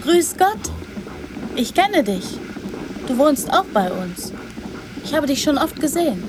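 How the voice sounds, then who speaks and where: A woman's voice: sits high, sing-song, slow pace, noisy background, heavily acted. An actress playing a trusting, direct young girl in a radio drama, speaking in plain, naive short sentences. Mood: curious, insistent.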